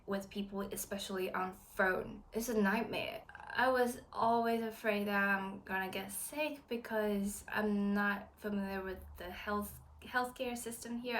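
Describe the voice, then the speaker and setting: A woman's voice: mid-range voice, a bit bright. Earnest woman vlogger from Taiwan. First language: Chinese. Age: 20s. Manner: earnest, encouraging, chatty. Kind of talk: casual talk to camera.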